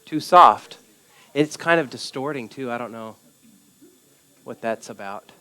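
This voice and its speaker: A soft-spoken man leads a church study group, gently putting questions to the group and affirming what they say. He talks at an unhurried pace in a middle register. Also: mid-range voice. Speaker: Bible study leader. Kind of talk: leading a group discussion. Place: church meeting room.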